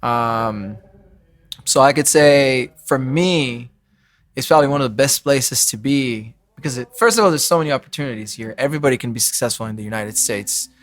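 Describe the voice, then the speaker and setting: A man from Israel speaks in a casual, conversational podcast style. His voice sits at a medium pitch, and he talks as a guest would, in loose everyday phrasing. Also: fairly quick. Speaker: podcast guest, financial advisor.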